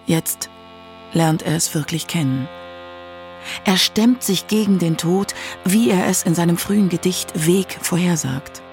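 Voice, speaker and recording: A narrator reading a scripted radio documentary in a measured literary manner. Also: medium pitch, faint music behind.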